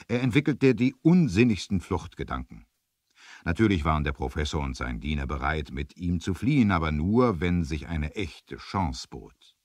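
Male narrator, low voice, lively intonation, suspenseful emphasis, vintage adventure radio play, dry close sound. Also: moderate pace, no echo. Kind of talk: dramatic scripted storytelling for 1970s adventure radio play.

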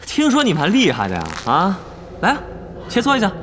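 A man's voice: sits high, wildly swooping pitch, brisk, echoey room, noisy background. An actor playing a cocky, hot-headed young male online gamer in an audio drama, brash and exclamatory, whiny when thwarted. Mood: cocky challenge. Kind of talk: Chinese audio drama acting.

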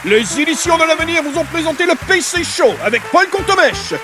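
A man's voice: very high-pitched, big pitch swings, brisk, over music. A man reading scripted credits as the announcer of a radio show.

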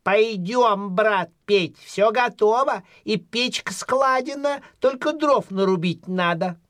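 A woman's voice: mid-range voice, takes it slowly, a bit bright, dry recording, studio quality. A woman voicing a sensible, protective cat in a children's audio fairy tale, instructing and admonishing. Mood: resolute. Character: sensible protective male house cat, elder brother to rooster.

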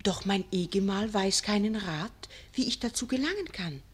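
A woman's voice: medium pitch, lively intonation, moderate pace, slightly rough. An actress playing a naive young noblewoman in a 1940s radio play, earnest and pleading in formal, archaic speech. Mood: earnest longing. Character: naive young noblewoman, earnest maiden wife longing for child.

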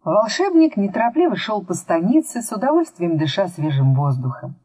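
A woman narrating a children's book as scripted, expressive storytelling. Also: mid-range voice, moderate pace, husky, no echo. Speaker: audiobook narrator.

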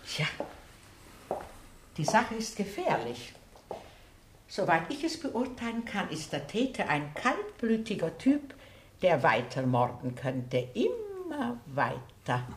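A woman's voice: medium pitch, wildly swooping pitch, takes it slowly, slight background noise. A woman acting in a radio drama as a shrewd, worried elderly village amateur detective, speaking and narrating precisely. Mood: grave. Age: older. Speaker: actor and narrator in a detective play.

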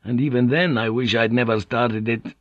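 A man's voice: medium pitch, steady tone, moderate pace, a bit bright, no echo, dull audio, close to an everyday voice. A man voicing a brash, swaggering Portuguese ship's pilot in an audiobook, cocky, bluffing and coarse-mouthed. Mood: worldly, teasing.

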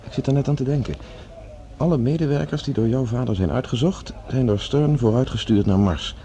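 An actor playing a friendly, thoughtful scientist on a Mars project in a Dutch science fiction radio drama. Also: male voice, mid-range voice, fairly quick, mellow, natural voice. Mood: pensive.

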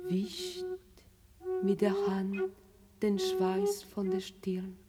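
Woman reading radio drama narration, super slow n measured, big long reverb, music behind. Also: mid-range voice, big pitch swings. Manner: measured, deliberate phrasing with pauses. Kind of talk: literary radio play narration.

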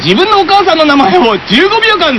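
A man's voice: very high-pitched, sing-song, fairly quick, a loud crowd. A man hosting a comic radio game segment, playing around with a character catchphrase.

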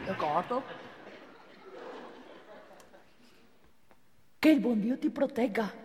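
A woman's voice: medium pitch, a little mellow, long reverb tail. An actress playing a fussy, officious, pious female police officer in a comic theatre monologue. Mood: hushed, solemn. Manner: officious, formal wording, fussy.

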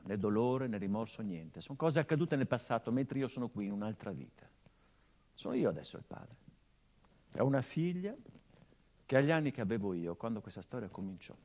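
An actor playing a middle-aged son who remembers his dead father, delivering a theatrical monologue in measured, weighted phrases with restrained bitterness. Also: on the low side, darkish tone. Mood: tender remembering. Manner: measured, short weighted phrases with swelling emphasis. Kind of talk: theatrical monologue, reflective narration.

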